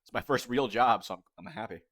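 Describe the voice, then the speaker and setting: A man's voice: medium pitch, quick pace, a bit bright. A young man in his twenties chatting casually on a live cooking stream, rambling and reading viewers' messages aloud. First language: English. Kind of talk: casual livestream chat.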